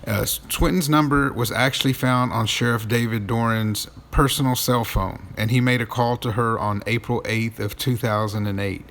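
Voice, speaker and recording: A man's voice: medium pitch, fairly even intonation, normal speed, creaky, hardly any pauses, a bit bright. A man narrating accusatory commentary, emphatic, with repeated phrases and rhetorical questions.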